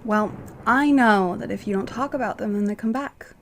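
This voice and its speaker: A woman playing a caring, sleepy wife in a radio mystery drama, with a gentle, soothing manner. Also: medium pitch, moderate pace, lightly acted. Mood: concerned, soothing.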